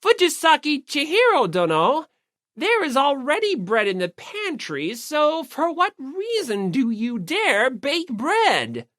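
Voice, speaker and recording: A woman playing a servile, excitable, pompous chubby teenage boy in an audiobook, with florid, overformal speech. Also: sits high, slow pace, no room sound, studio quality, pitched above the performer's own voice, full character voice. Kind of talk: anime-style audiobook character acting.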